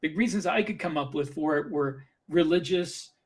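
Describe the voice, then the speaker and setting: A man with a somewhat high voice discusses ideas as a podcast co-host. He talks informally and argues his points with emphasis, repeating phrases as he goes. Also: moderate pace. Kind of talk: casual opinionated discussion.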